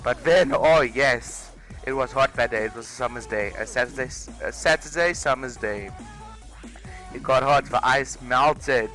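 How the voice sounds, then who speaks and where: A man's voice: mid-range voice, measured pace, fairly bright tone. A young man, telling a personal story casually with exaggerated comic emphasis, over music. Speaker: video creator.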